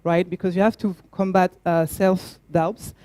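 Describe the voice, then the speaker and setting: A young adult woman with a French accent, a startup founder giving an earnest talk, often pausing with fillers and restarting her words. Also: lowish voice, steady tone, warm tone, no echo. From France. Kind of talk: conference talk.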